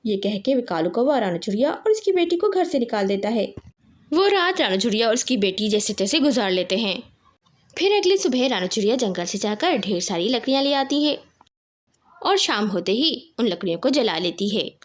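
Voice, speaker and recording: Female storyteller for scripted children's tales; high voice, very lively intonation. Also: moderate pace.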